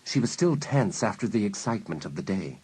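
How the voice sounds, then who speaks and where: A man acting as the measured storyteller of a suspense audio drama, reading scripted narration. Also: fairly low pitch, big pitch swings, breathy edge, exaggerated voice, poor audio. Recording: very loud ambient sound effects behind.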